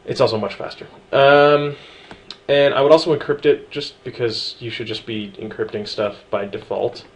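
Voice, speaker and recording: A man casually talking through a software setup tutorial, relaxed and chatty with frequent ums and small asides. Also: medium pitch, normal speed. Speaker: tutorial presenter. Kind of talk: screen-recorded software walkthrough.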